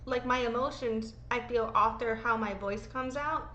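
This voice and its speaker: A woman's voice: a little high, moderate pace, sharp tone, roomy sound, slight background noise, low-quality recording. A woman in her thirties with a sore throat, chatting in a rambling, emotional, profane vlog from her home. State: sick, sore throat, voice lower than usual. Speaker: vlogger. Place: home room being packed up.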